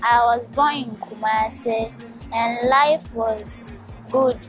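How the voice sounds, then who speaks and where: A young woman from Ghana speaks slowly and carefully, sometimes restarting a word. Her voice is somewhat high, and she is recorded outdoors with gentle music underneath. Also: fairly even intonation. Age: young adult. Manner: slow and careful, some restarts. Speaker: high school graduate. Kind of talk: casual testimonial. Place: outdoors by a stone wall.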